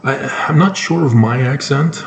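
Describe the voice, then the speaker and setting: Slow-talking North American man, echoey room. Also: medium pitch, gravelly. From North America, moved around the United States and Canada. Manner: halting, with frequent pauses mid-sentence; sarcastic. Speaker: solo commentator. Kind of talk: informal opinion commentary on news. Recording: echoey room with faint background noise.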